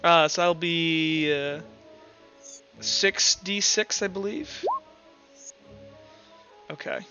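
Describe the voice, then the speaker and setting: Male game master, slightly high and very bright voice, blunt and sweary, casual tabletop session. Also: very slow, highs cut off. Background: faint music.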